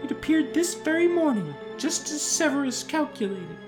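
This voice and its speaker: A narrator voicing a solemn woman mystic in a storybook fantasy, portentous and measured. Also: high voice, very animated, music in the background, voice pushed up. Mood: grand, reverent. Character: high, solemn woman mystic guide pronouncing prophecy.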